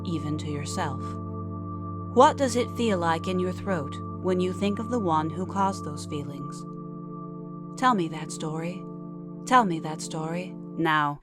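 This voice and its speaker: Measured woman narrator over music. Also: on the low side. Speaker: podcast writer and narrator. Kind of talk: scripted fiction narration, mock advice column.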